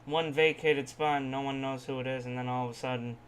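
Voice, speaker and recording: A man's voice: medium pitch, fairly even intonation, quick pace, a little hiss or hum. A man co-hosting a casual podcast debate, blunt and prone to swearing. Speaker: podcast co-host.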